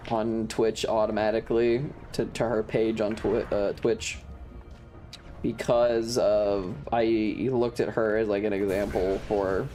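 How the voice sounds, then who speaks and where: A man's voice: fairly low pitch, darkish tone. A man casually commentating a video game on a livestream and chatting with viewers, sweary and dramatically exasperated. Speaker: streamer. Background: video game music.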